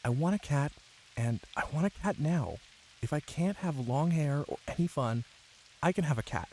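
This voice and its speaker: A man's voice: a little high, animated, normal speed, darkish tone, slight background noise, voice pushed up, low-quality recording. A man voicing a restless, wistful young American wife, plaintive and insistent as she longs for things. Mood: petulant.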